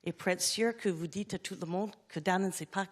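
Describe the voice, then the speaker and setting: Panelist, a woman with a lowish voice who mixes into hesitant French. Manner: halting French mixed with English words. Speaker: conference panelist. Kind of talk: conference panel talk.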